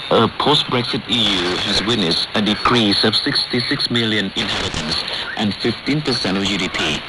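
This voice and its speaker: Deep-voiced male newsreader over shortwave static. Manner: measured, formal delivery. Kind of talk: scripted news reading. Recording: noisy shortwave radio broadcast. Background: shortwave static and hiss.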